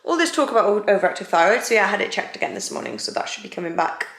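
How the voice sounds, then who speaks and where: A young Welsh woman talks casually for a home vlog, energetic and a touch frantic, laughing easily. Her pitch is middling for a woman. The room around her is echoey. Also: racing. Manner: lively, laughing, a bit frantic. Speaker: vlogger, new mother. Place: home, indoors.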